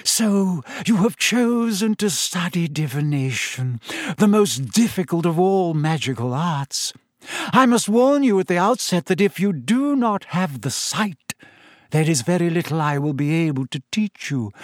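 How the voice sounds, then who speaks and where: A man voicing a dreamy, eerie woman seer, speaking slowly and softly in a misty voice. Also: on the high side, lively intonation, dry recording, clean studio sound, pitched above the performer's own voice. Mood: mystical. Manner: slow, soft, drawn-out delivery.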